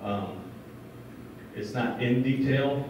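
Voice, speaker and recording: A man's voice: mid-range voice, takes it slowly. A man who is a police chief speaks to a community meeting in a large school room, talking in a casual, plainspoken way. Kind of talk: public meeting talk, telling an anecdote. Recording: echoey, distant, dull, with background noise.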